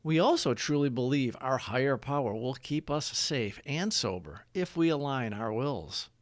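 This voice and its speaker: Man reading reflections, lively pitch, dry sound. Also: moderate pace, dry recording. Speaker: narrator of daily recovery readings. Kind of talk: scripted read-along narration of devotional reflections and prayers.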